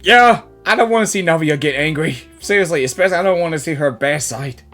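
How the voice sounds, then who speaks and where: A woman's voice: low-pitched, expressive, fast, a bit bright. A woman giving casual, rambling, giggly reaction commentary on a video game. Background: game music.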